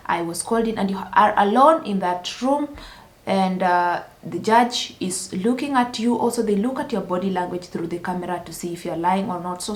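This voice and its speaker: A woman from Kenya sharing a personal story as a vlogger, earnest and careful, with many restarts. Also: medium pitch. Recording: roomy echo.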